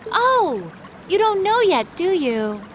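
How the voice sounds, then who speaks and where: A woman's voice: very high-pitched, big pitch swings, takes it slowly, a little hiss or hum, exaggerated voice. A woman playing a breezy, teasing young academy instructor in a video game, casual and wry.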